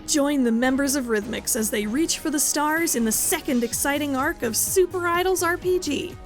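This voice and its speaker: A woman's voice: high-pitched, moderate pace. A woman reading scripted narration for a podcast trailer. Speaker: promo narrator. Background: faint music.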